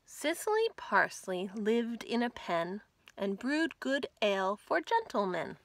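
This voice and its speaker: A woman in her thirties reading picture books aloud as a storytime host, expressive and measured, as if for young children. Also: on the high side, gravelly. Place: small indoor room at home with bookshelves.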